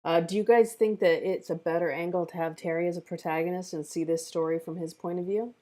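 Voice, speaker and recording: A woman hosts an informal online feedback chat. Her voice is on the low side for a woman and very relaxed and warm in tone, with plain conversational delivery. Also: fast talker. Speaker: table read moderator. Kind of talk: casual chat, relaying viewer comments.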